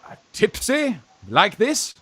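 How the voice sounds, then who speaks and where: A man in a radio farce playing a young fellow who poses as a gruff, flustered old aunt, halting and blustering. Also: high voice, very animated, fairly bright tone, no echo, full character voice. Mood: flustered, prim. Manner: blustering, halting, repeats words. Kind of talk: stage farce radio acting.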